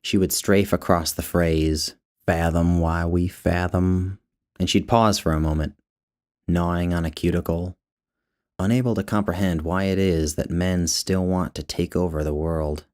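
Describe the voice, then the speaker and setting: Deep-voiced man reading literary fiction. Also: steady tone, normal speed, darkish tone. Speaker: author narrating his own short story. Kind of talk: literary short story narration.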